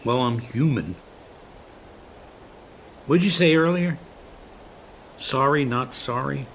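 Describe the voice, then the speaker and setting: An actor playing a stubborn, wounded, middle-aged joking dad in a naturalistic comedic radio play, plaintive and insistent. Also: male voice, mid-range voice, takes it slowly, a little hiss or hum. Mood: pleading.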